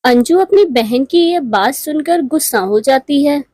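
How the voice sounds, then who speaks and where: A woman's voice: high-pitched, animated, a little slow, a little mellow, no echo, studio quality. A woman narrating a scripted children's moral story.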